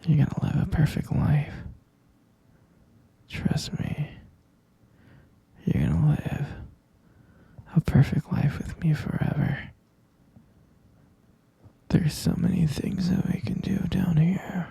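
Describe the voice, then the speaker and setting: A man playing a tender, possessive, deluded captor in an intimate audio roleplay, coaxing in a breathy, gravelly whisper with murmured endearments. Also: on the high side, steady tone, slow pace, long pauses, warm tone, heavily acted. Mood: soothing, obsessive. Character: obsessive male yandere captor, tender, possessive, deluded lover.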